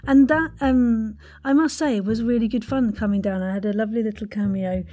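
Mellow-voiced woman hosting a chatty interview. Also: on the high side, fairly even intonation, brisk. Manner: encouraging, appreciative. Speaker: podcast host and interviewer.

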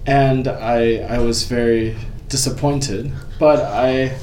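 A young man in his twenties from California, a guest chatting candidly and with self-deprecating humor about his path, his pitch jumping up as he tells stories. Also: slow pace. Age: 20s. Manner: candid, self-deprecating, lively pitch jumps when storytelling. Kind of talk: casual interview chat. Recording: echoey room, mic at a distance, faint background noise.

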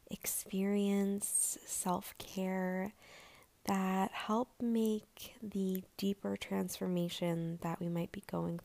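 A woman hosting her own podcast in a gentle, rambling chat, her voice a little raspy and hoarse, with plenty of fillers. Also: medium pitch. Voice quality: raspy, breathy. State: slightly hoarse. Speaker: podcast host. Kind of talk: solo podcast intro, casual chat.

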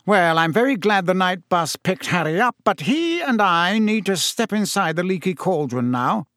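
A man's voice: high voice, sing-song, moderate pace, fairly bright tone, dry recording, clean studio sound, voice pushed up, full character voice. A man doing a testy, portly official. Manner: testy and pointed. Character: portly, testy middle-aged male official.

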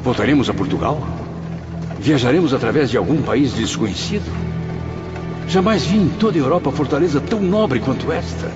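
A man performs an anguished male spirit in a radio drama, looking back on the afterlife with awe. His delivery is dramatic and very animated in pitch. The sound is dull and lo-fi, with music clearly underneath. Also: fairly quick, close to an everyday voice. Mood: bewildered wonder. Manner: dramatic, awed, reflective storytelling.

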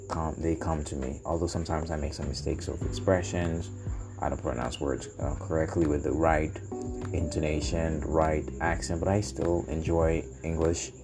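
Male podcast host, Haitian accent, very deep and monotone, hesitant unscripted delivery with repeated phrases, over background music. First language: Haitian Creole. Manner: halting, searching for words, repeating phrases. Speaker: podcast host and language learner. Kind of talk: unscripted solo podcast talk.

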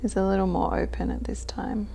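A woman leading a guided meditation with gentle, soothing instructions. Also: medium pitch, steady tone, fairly quick, vocal fry, slight background noise.

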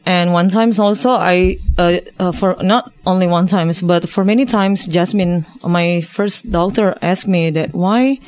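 A woman's voice: on the low side, measured pace, hardly any pauses, darkish tone, dry recording. A woman in her thirties from Indonesia with an Indonesian accent, talking as a guest in a discussion, with many hesitations and restarts. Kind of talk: casual discussion.

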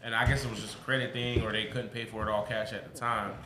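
A man chatting casually on a podcast, telling animated stories and laughing often. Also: on the low side, fast talker, background noise. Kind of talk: casual chat. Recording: echoey room, faint ambience.

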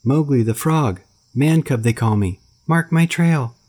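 A man voicing a wild jungle boy who shouts high and loud. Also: expressive, a little slow. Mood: shouting. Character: wild shrieking boy raised by wolves.

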